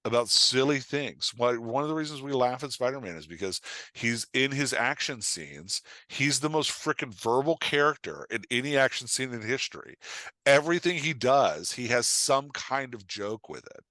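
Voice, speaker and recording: A man's voice: mid-range voice, animated, normal speed, pushed and bright, no echo. A man talking casually as a podcast guest, explaining with examples in an emphatic, storytelling way and often checking in with his listener. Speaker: podcast guest, writing coach and author.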